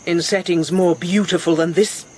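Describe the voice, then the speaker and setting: A woman's voice: on the low side, steady tone, normal speed, a little mellow, slight background noise, close to an everyday voice. An actress playing a languid, imperious middle-aged Spanish noblewoman in a radio drama, brooding and commanding. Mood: brooding, seductive. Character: languid, imperious older Spanish noblewoman, sun-weary mother.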